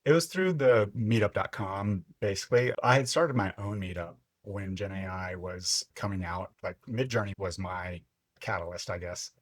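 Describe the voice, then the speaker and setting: A man in his fifties, originally from Lebanon, telling his story as a podcast guest in a reflective, unhurried way. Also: low-pitched, no room sound. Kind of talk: casual interview chat.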